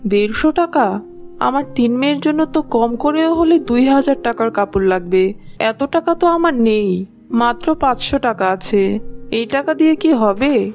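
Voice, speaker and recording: Woman as poor cartoon mother: high voice, gentle, caring, tender-hearted. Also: expressive, unhurried, soft background music. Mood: worried. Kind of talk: cartoon voice acting.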